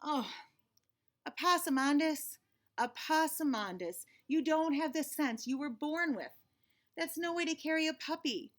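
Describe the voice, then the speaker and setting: A woman's voice: high-pitched, expressive, fairly bright tone, voice pushed up. Woman acting out an exasperated, emphatic storybook mama. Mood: exasperated scolding. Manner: drawn-out, emphatic scolding and instructing. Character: exasperated, scolding, loving Southern-folktale mama of a silly child.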